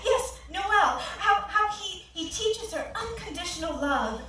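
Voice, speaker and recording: A woman on stage playing a friendly, bookish nurse on a date, speaking in an eager, halting way in a staged play reading. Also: very high-pitched, lively intonation, bright, forceful tone, full character voice. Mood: delighted. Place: theatre stage, recorded from the audience. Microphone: far camera. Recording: echoey theatre, distant camera, faint noise.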